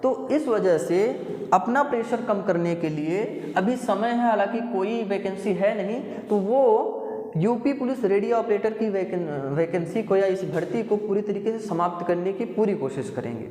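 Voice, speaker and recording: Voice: medium pitch, normal speed, dark tone. An exam coaching teacher from explains things informally to candidates, repeating points and often checking in with tag words. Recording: echoey, reverberant room.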